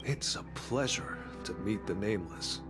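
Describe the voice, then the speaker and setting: A man playing a modest, courteous gravekeeper in a video game, speaking in a calm, measured, understated way. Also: lowish voice, over music, natural voice. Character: modest courteous middle-aged gravekeeper, calm guide of exiles. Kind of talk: dubbed video game story dialogue.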